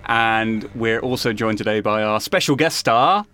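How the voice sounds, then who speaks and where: A man's voice: lowish voice, normal speed, sharp tone, dry recording, clean studio sound. A man conducting a casual podcast interview, introducing and prompting his guests. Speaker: interviewer, producer and director.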